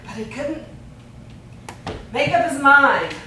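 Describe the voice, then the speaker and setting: Anguished, extremely slow theatrical ranter. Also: female voice, high voice, sing-song, exaggerated voice. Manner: drawn-out, dramatic, swinging between hushed and forceful. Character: anguished female ranter against human existence. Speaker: stage actor. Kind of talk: avant-garde theatrical monologue. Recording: echoey theatre, distant mic, faint noise.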